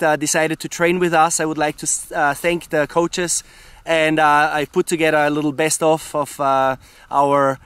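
A middle-aged man, a ski coach, talking unscripted and haltingly to camera outdoors. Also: a little high, a bit bright, dry recording. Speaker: ski coach and camp host. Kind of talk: talk to camera, promotional recap. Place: outdoors on a snowy mountain slope. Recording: clearly noisy outdoor location sound.

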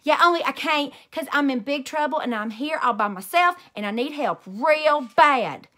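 A woman reading aloud and voicing a loud, cranky little schoolgirl in a panic, shouting and whining. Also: high voice, animated, moderate pace, pitched above the performer's own voice. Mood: pleading. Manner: shouting, whiny and desperate.

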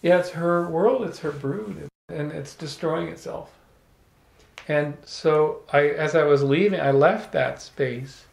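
A middle-aged man telling an animated, playful story to a small audience, holding a handheld microphone. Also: fairly high pitch, gravelly. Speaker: salon speaker. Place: room with small live audience. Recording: echoey room.

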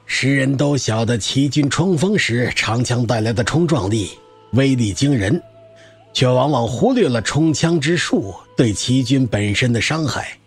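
Old male wanderer for audio drama: folksy, garrulous spear expert, rough-edged husky voice, lively swings. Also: medium pitch, a little slow, faint music behind. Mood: opinionated, proud.

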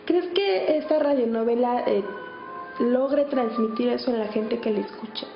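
A woman's voice: on the high side, moderate pace, vocal fry, soft background music. A Mexican woman working as an interviewer, asking questions and presenting. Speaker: interviewer, reporter. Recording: dull, echoey, faint noise.

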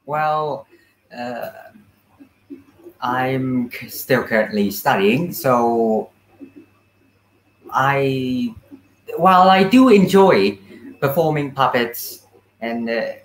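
Hesitant young man, very slow. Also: mid-range voice, expressive. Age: young adult. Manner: hesitant, measured. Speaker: guest, amateur puppeteer. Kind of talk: conversational interview answer. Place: small indoor room with puppets. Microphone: video call, device at a distance. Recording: echoey room over video call.

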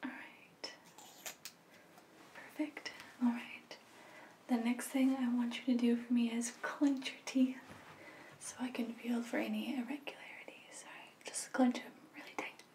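A woman in her twenties whispering softly and reassuringly in an ASMR medical roleplay, as an examiner talking to a patient. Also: fairly high pitch, level tone, roomy sound, recorded from a distance. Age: 20s. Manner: soft, slow, soothing, reassuring. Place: small plain indoor room.

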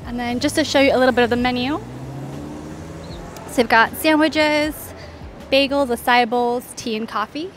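A woman's voice: a little high, normal speed, pauses often, soft background music. A woman hosting a travel vlog, narrating a walking tour in a casual, informative, conversational way, pointing things out in an orderly fashion. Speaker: travel guide and vlog host.

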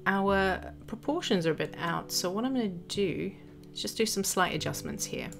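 A woman narrating a step-by-step software tutorial as an instructor, explaining each action as she goes. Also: medium pitch, expressive, normal speed, gravelly. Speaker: graphic design tutorial instructor. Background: background music.

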